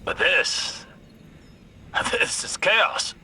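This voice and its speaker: A man playing a grave, scholarly mentor in a video game, measured and portentous, over ambient rumble. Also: pushed and bright, full character voice. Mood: grave, pondering. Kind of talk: video game character dialogue.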